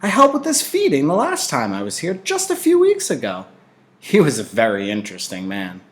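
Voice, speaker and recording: A man's voice: mid-range voice, huge pitch swings, normal speed, voice pushed up. A man voicing a polite, attentive young boy in a storybook, friendly and courteous.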